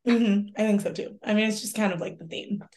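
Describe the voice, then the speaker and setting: A woman's voice: mid-range voice, steady tone, a little fast, darkish tone. A woman co-hosting a podcast in casual chat, often laughing and agreeing. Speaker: podcast co-host.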